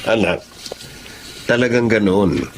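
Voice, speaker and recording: A man's voice: fairly low pitch, animated, takes it slowly, vocal fry, full character voice. A man playing a middle-aged Filipino father in a radio drama, consoling and cautioning in a fatherly way. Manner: consoling, admonishing. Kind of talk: Tagalog radio drama acting.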